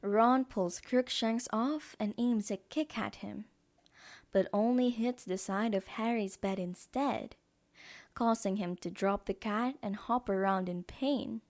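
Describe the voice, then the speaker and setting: A woman's voice: medium pitch, slow pace, a little mellow. A woman reading scripted narration as the narrator of an audio drama.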